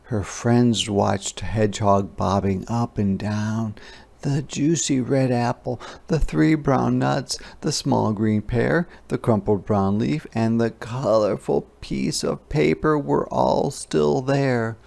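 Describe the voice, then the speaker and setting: A man narrating a children's picture book aloud in a deliberate, expressive storytelling style. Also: medium pitch, vocal fry. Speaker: story reader.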